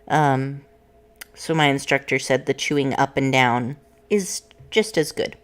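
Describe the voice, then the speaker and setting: A woman's voice: a bass voice, a little slow. A woman, a voice student, chatting casually and explaining her practice step by step between vocal exercises.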